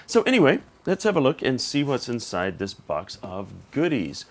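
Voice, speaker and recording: A man with a slightly hoarse voice hosting a casual unboxing vlog, thinking aloud in an informal, conversational way. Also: medium pitch, huge pitch swings, normal speed, over loud music. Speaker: tech reviewer and channel host.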